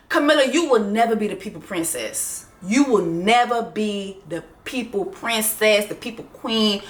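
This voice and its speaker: A woman's voice: on the high side, sharp tone. A woman vlogger giving a profane, fired-up, mocking rant about a docuseries. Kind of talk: ranting commentary on a docuseries. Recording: echoey room.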